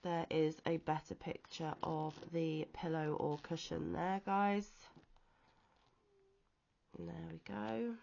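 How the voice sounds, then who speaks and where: Sick wheezy woman from the UK, low-ish voice, chatty with ums, dull lo-fi home recording. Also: level tone, vocal fry, a little mellow. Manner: chatty, pauses with frequent "um". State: ill, wheezy with a chesty cough. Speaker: crochet vlogger. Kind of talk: casual show-and-tell chat. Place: at home, off camera.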